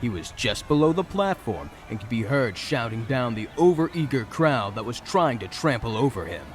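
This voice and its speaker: A man's voice: mid-range voice, big pitch swings, normal speed. A man narrating a fantasy story in a dramatic, scripted storytelling style. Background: soft music.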